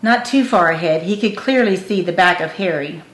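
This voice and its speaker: A woman's voice: fairly low pitch, normal speed, a bit bright. A woman reading a scripted story aloud as an audiobook narrator. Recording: roomy, echoing room.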